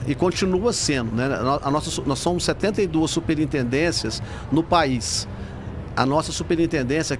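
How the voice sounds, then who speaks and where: A Brazilian man speaks in a fairly high male voice, explaining things informally like an executive being interviewed. Faint trade-fair hall noise is heard behind him. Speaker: bank executive, interview guest. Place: convention centre trade fair.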